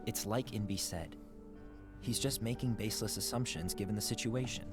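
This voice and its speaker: Guy doing a deadpan skeptic in a game, lowish voice, score playing. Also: normal speed, natural voice. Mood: dry. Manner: dry, matter-of-fact. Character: cool, skeptical, blunt man. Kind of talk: video game cutscene acting. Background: game score music.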